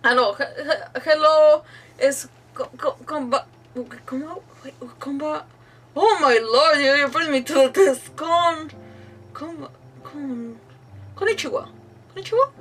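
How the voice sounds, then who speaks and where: A woman live streamer giving casual, animated commentary on a game, thinking aloud in a giggly, chatty way. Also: high-pitched, takes it slowly. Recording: slightly distant mic, faint game music. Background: faint game music.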